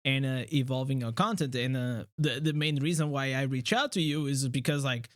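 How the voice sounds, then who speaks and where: A man from Portugal with a Portuguese accent, hosting a casual livestream chat in a halting way, full of fillers and restarts. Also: mid-range voice, dry recording, studio quality. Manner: halting, many fillers and restarts, laughs often. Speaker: streamer host.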